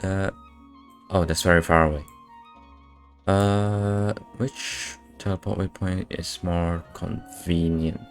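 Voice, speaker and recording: Low, unhurried male game streamer. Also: fairly even intonation, faint music behind. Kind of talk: casual live playthrough commentary.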